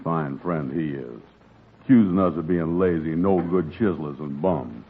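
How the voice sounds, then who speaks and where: An actor playing a lazy, slow-witted male pal with naive, drawling dialect speech, in 1940s radio comedy. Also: very deep voice. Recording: tinny old radio broadcast with faint hiss.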